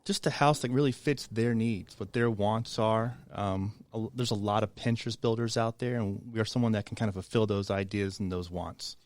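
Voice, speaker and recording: A man's voice: lowish voice, steady tone, moderate pace, few pauses, no echo. A man who builds custom homes, a guest on a radio show, giving short agreeable answers and plain explanations.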